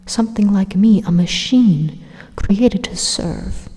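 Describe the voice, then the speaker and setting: A woman playing an evil, persuasive supercomputer in an ASMR roleplay, calmly menacing in a breathy, hushed, deliberate monologue with dramatic pauses. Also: medium pitch. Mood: grave, persuasive. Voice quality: breathy, whispery. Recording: long hollow reverb on the voice.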